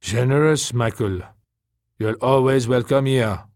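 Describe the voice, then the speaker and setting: Soft, ingratiating male story character, done by a male narrator; patient, coaxing, a little wistful, very dry sound. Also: medium pitch, unhurried, no echo, natural voice. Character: soft, patient, ingratiating man, easygoing petty thief.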